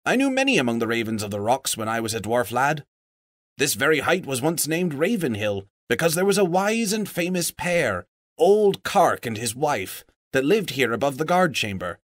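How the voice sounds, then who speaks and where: A man playing a lively, earnest old dwarf. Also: mid-range voice, moderate pace, no echo, studio quality, voice pushed up. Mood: reminiscing. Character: proud old male dwarf, wary and earnest.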